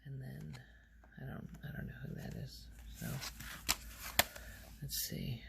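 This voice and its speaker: A woman speaking softly in casual ASMR style, often slipping toward a whisper, halting as she thinks aloud. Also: a bass voice, steady tone, vocal fry, very noisy. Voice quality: soft, often breaking into whisper. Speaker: ASMR video host. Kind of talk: soft-spoken ASMR, casual rambling show-and-tell.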